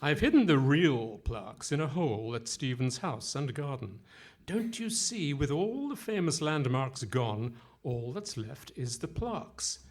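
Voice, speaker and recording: A man's voice: medium pitch, very animated, unhurried. A man playing a pompous, greedy, scheming villain in a theatrical radio comedy, exclaiming and hurling florid insults. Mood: gloating. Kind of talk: Goon Show style radio comedy acting. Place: live performance on stage in a hall.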